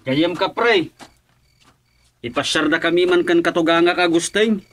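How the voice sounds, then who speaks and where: A man's voice: on the high side, measured pace, a bit bright. A man playing a cheerful, lighthearted son-in-law in a comic radio drama, chuckling often. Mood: playful.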